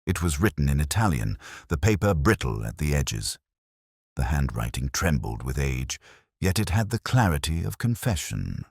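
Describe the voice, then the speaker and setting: A man acting as a melancholy police inspector recounts a case like an audio detective drama. His voice is extremely low, with lively rises and falls within a measured, reflective delivery. The phrasing is literary and solemn, and the sound is close and dry. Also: breathy edge, dry recording, full character voice. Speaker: narrator. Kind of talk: scripted first-person detective story narration.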